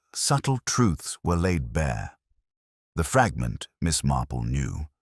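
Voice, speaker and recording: Very deep male mystery narrator. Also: no room sound, clean studio sound. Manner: measured, formal storytelling. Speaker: audiobook narrator. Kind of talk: scripted mystery story narration.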